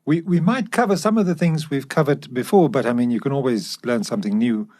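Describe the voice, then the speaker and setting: A man's voice: medium pitch, brisk, a little mellow. A man co-hosting a podcast on a studio microphone, chatting informally and putting questions conversationally. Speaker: podcast co-host. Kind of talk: casual co-hosted podcast discussion. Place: professional recording studio.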